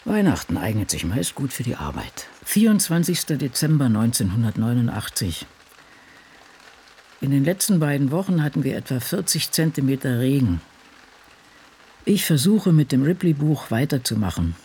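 Brooding diarist, very lively pitch. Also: male voice, normal speed, husky, heavily acted. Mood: sober. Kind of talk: literary radio play monologue.

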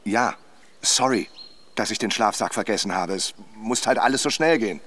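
A man's voice: fairly low pitch, normal speed, a bit bright. An actor playing a sarcastic, talkative husband in a radio drama, mocking and theatrical in his delivery. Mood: teasing. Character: sarcastic, talkative husband on a wilderness camping trip. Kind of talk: German radio drama acting.

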